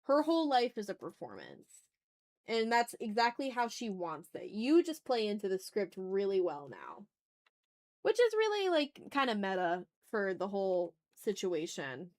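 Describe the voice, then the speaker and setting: A woman hosts a casual art livestream in a mid-pitched voice. She talks informally to her chat while she draws. Her speech often turns into thinking aloud and muttering to herself. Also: huge pitch swings, measured pace, darkish tone.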